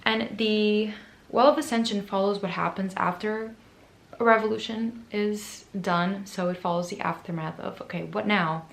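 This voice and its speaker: Woman book vlogger, hoarse and gravelly today, chatty and self-mocking, echoey room. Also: medium pitch, steady tone, moderate pace. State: hoarse, raspy voice from screaming. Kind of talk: casual book chat to camera.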